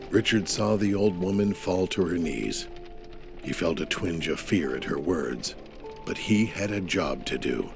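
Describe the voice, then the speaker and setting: Deep, gravelly male story narrator. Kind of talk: dramatic scripted audio story narration. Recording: music bed behind the voice. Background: music.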